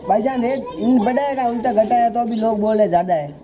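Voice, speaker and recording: A man's voice: extremely high pitch, fairly quick. A man, answering casually in an interview as a horse trainer. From Rajasthan, India. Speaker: horse trainer and stud farm owner, interviewee. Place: outdoors in a farm yard by a brick wall. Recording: outdoor recording with birds and background chatter.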